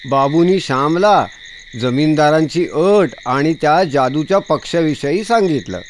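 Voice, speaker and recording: A man's voice: a little high, a little slow, slight background noise. A man narrating a scripted children's fairy tale as storyteller.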